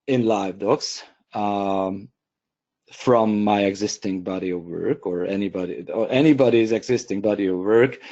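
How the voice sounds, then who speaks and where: A man whose first language is Hungarian presents a software webinar, pausing often with fillers and restarting sentences. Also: fairly low pitch, dull audio. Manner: hesitant, many fillers and restarts.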